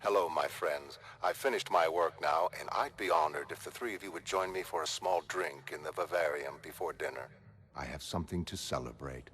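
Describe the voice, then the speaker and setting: A man performing a brilliant, self-assured mastermind in a motion comic, speaking in formal, measured, erudite monologue. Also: very deep voice, fairly bright tone. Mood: calm, courteous. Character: brilliant, aloof, self-assured male mastermind, soliloquizing to his pet.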